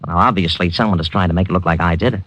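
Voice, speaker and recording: A defensive gossip columnist. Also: male voice, low-pitched, fairly bright tone, no echo, lo-fi sound. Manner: quick defensive protests. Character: nervous male gossip columnist, defensive and slick. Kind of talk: 1950s radio drama acting.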